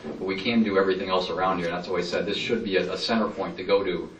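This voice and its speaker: A man's voice: low-pitched, steady tone, rapid-fire, highs cut off, slight background noise. A man answering at a public meeting, rambling and enthusiastic with many fillers. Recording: roomy hall, distant mic.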